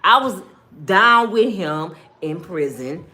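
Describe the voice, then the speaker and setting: An American woman tells a personal story straight to her viewers. Her voice is bright and forceful, with pitch that moves widely. She is blunt and expressive, voicing both sides of conversations as she recounts them. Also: slow, slight background noise. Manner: blunt, expressive, acts out dialogue. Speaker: storytime vlogger.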